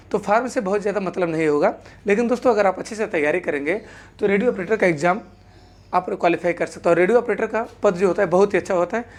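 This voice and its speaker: A woman's voice: mid-range voice, darkish tone. A woman presents an informal explainer to viewers, spelling out her points and repeating them with set phrases. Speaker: exam-news video presenter.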